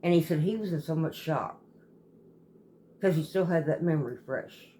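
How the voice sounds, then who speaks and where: Halting woman storyteller, noisy recording. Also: low-pitched, warm tone. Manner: halting, rambling, often circling back and repeating. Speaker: storytelling host. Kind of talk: casual storytelling, retelling a viewer's account.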